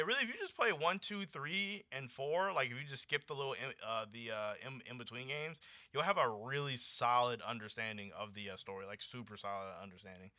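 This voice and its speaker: An American man in his late twenties hosting a casual livestream, chatting with viewers in a slangy, animated, conversational way. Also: mid-range voice, no room sound. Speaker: livestream host. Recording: dull lo-fi streaming audio.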